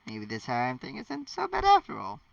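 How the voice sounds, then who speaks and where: A man's voice: medium pitch, wildly swooping pitch, fairly quick, gravelly, no room sound, distant mic, muffled recording, exaggerated voice. A man voicing a stern, weary older schoolmistress, dry and resigned, in a story reading. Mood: stern, musing.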